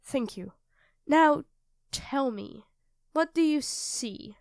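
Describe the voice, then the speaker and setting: A woman plays a young girlfriend with a high-pitched voice, recorded close and dry. She is doting and playful, teasing her partner with expressive turns of phrase. It has the intimate feel of an ASMR roleplay audio drama. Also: extremely slow, creaky, dry recording, full character voice. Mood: flustered, unsure. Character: affectionate, teasing young live-in girlfriend cooking dinner.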